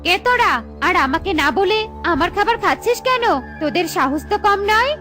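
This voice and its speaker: A scolding cartoon witch, voiced by a woman, who speaks in a sharp, very high voice. She orders and threatens rather than asks. Soft children's music runs underneath. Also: animated, fairly quick, heavily acted. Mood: angry. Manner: scolding, commanding. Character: stern but fair old witch, robbed of her wand. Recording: with light background music.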